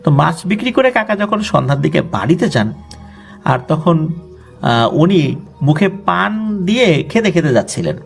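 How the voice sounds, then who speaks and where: A man's voice: fairly high pitch, big pitch swings, moderate pace, vocal fry, long pauses, faint music behind. A man with a Bangladeshi accent narrating a ghost story conversationally, with explanatory colloquial asides.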